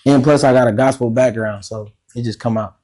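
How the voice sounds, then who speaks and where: Laid-back raspy man. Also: mid-range voice, fairly even intonation, a little fast, mellow. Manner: laid-back, slangy, laughs often. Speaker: musician guest. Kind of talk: casual podcast interview.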